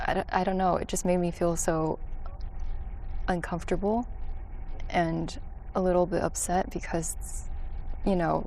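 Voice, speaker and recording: A woman's voice: fairly low pitch, creaky, mellow, a little hiss or hum. A young woman telling her story in an interview, hesitant and full of "like" fillers. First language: English. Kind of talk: personal account in interview.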